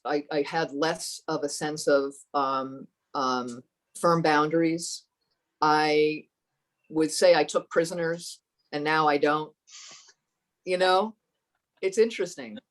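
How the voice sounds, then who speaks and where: A woman with a fairly low voice telling her personal story as a podcast guest, thoughtful and earnest, often restarting her sentences. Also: fairly even intonation. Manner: thoughtful, halting, with many restarts.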